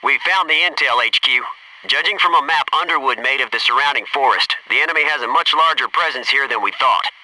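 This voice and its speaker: An actor playing a focused, businesslike Navy SEAL in a military video game, giving terse, clipped tactical callouts and matter-of-fact reports. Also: male voice, medium pitch, brisk, bright, forceful tone, far from the mic, a little hiss or hum, poor audio. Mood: businesslike reporting.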